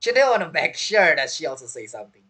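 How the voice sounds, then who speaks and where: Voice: medium pitch, very animated, fast, sharp tone, breathy edge. A game streamer giving casual, amused reaction commentary in informal, loosely grammatical English.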